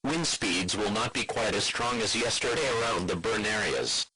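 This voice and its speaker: Male text-to-speech announcer, lowish pitch, formal and flat, for scripted emergency broadcast alerts. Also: normal speed, a loud crowd.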